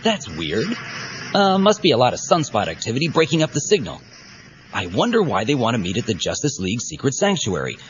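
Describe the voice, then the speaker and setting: A man's voice: medium pitch, huge pitch swings, brisk. A man playing a breezy, cocky young superhero in an audio drama, casual and chatty. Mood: breezy, confiding. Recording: dull cassette with faint background noise.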